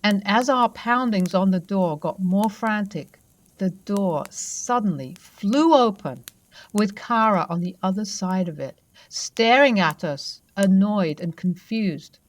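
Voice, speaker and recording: A woman narrating true ghost stories in a soft, conversational way. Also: medium pitch, expressive, takes it slowly. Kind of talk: storytelling narration.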